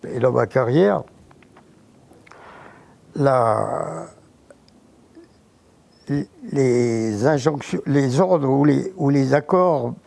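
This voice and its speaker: An older man recalling his childhood in an interview, halting, with many false starts. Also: mid-range voice, vocal fry, darkish tone. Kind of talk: reminiscing interview.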